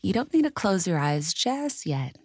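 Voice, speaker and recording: A woman leading a guided meditation in a calm, gentle, soothing and measured way. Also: fairly low pitch, husky, no room sound, studio quality.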